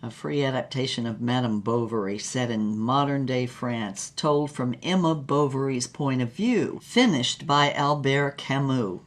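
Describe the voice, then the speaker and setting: A woman's voice: rumbling low, a little slow, hardly any pauses. A woman playing a worldly, tough, glamorous heartbreaker, recounting her past in a wry, sardonic, unflappable way for audio drama. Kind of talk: dramatic first-person storytelling in audio fiction.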